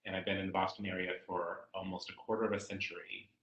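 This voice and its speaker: Lowish bright man, echoey dull audio. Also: flat delivery, fast. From California. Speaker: school district director. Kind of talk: self-introduction in a webinar.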